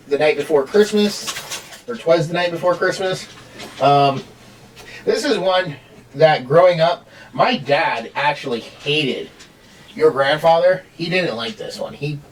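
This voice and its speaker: A man in middle age with a somewhat high-pitched voice hosts a casual review. He rambles and halts as he talks. The microphone picks him up from some distance. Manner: rambling, halting. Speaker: amateur reviewer hosting with a child. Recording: distant mic, home recording.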